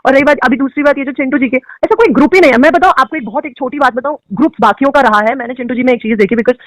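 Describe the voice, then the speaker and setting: Young actress guest, high and quick, outspoken, emphatic, dry close sound. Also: female voice, no room sound. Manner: outspoken, emphatic, mixing in English phrases. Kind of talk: candid interview answers.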